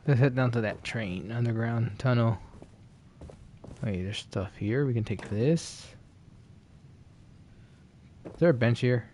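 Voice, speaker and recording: A man streaming a video game with casual, gravelly live commentary. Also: mid-range voice, slow pace, pauses often, darkish tone. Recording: faint background noise.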